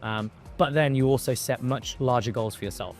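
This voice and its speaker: A man's voice: mid-range voice, moderate pace, a little mellow, soft background music. A man who founded a company, speaking bluntly and informally on a casual panel.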